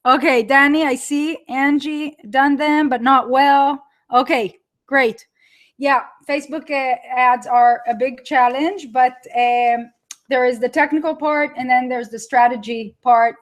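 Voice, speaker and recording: Israeli woman in her thirties, webinar trainer, chatty and warm-pitched, laughs easily. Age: 30s. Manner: chatty, laughs often, talks to viewers by name. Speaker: online marketing trainer. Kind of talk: live webinar teaching.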